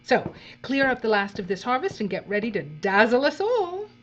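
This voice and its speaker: A woman's voice: on the high side, sing-song. Woman playing a coolly condescending dystopian overseer. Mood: withering condescension. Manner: measured, patronizing, dry. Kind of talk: dark dystopian audio drama acting. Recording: dull audio with faint mains hum.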